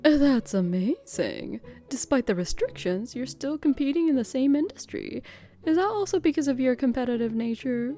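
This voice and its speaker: Woman as coy bar mama, high and lilting. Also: normal speed, faint music behind. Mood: admiring. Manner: coy, flattering. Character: bewitching, teasing, motherly bar proprietress. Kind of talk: scripted manga drama dialogue.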